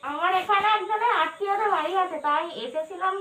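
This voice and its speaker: A woman's voice: very high-pitched, fast, bright, forceful tone. A woman making a casual prank phone call, playing an innocent stranger. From Malda, West Bengal, India. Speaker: prank caller. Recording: echoey room with faint background noise.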